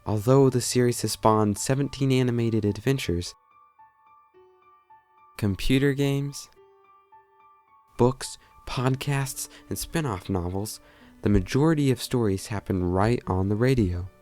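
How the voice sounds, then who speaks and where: A man's voice: medium pitch, measured pace, pauses often, a little mellow, soft background music. A man reading scripted documentary narration.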